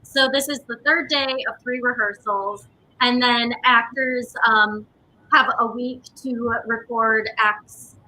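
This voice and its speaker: A woman's voice: a little high, steady tone, slow pace, pushed and bright, rough recording. A young adult woman explaining things informally as an interviewee in a casual conversation. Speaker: interviewee, artistic director and actor.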